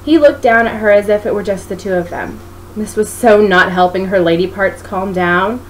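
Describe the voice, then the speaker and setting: A woman narrates a story expressively, like an author reading her own book. Her pitch sits in the middle for a woman. There is noise behind her in what sounds like a home recording. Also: moderate pace, poor audio.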